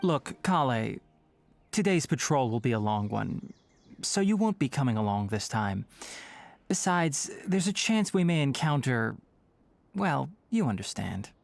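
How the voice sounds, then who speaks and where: Low-voiced young male forest ranger. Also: big pitch swings, gravelly, darkish tone, faint music behind, heavily acted. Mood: firm, instructive. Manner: measured, articulate, instructive. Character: composed young male forest ranger master, frank and exacting. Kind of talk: video game voice acting.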